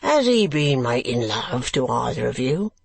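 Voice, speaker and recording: A reader voicing a coarse, squat male keeper from a storybook, gruff and blunt, with uneducated speech. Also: medium pitch, sing-song, moderate pace, heavily acted. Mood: blunt, scornful.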